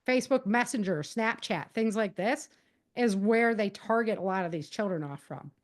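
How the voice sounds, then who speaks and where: A woman's voice: mid-range voice, moderate pace. A middle-aged woman speaking as an interview guest, giving conversational advice emphatically, repeating key points and acting out example dialogue. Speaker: podcast guest, author and self-defense advocate.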